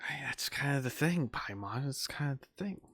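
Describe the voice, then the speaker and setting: A man's voice: medium pitch, expressive, moderate pace, a bit bright, breathy edge. A man streaming a video game, reacting casually on a close mic. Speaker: gaming streamer.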